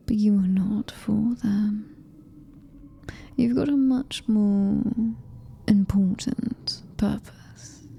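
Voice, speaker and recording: A woman with a British accent voicing a possessive, menacing female alien-human hybrid in ASMR horror roleplay, breathy, deliberate and commanding, often whispering. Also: medium pitch, mellow, exaggerated voice.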